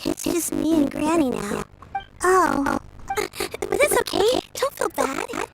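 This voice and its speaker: A woman's voice: high-pitched, sing-song, slow pace, vocal fry, exaggerated voice. A woman voicing a sweet, polite, earnest young girl in an anime video game, girlish and chatty, over a noisy background. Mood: earnest, wistful.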